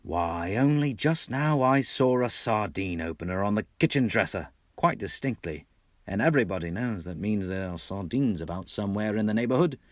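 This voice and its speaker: Man acting a brisk cheerful rat, put-on British accent, hearty, encouraging, dry sound, storybook reading. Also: lowish voice, animated, dry recording, natural voice. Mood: reproachful, rallying. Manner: hearty, exclaiming, bossily encouraging.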